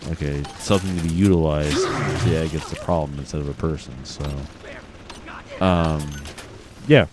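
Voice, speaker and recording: A man's voice: a bass voice, fairly even intonation, moderate pace, heavy background noise. A man with a Midwestern American accent, a bit drunk, rambling and reflective as he hosts a casual gaming chat, swearing casually. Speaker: gaming podcast host.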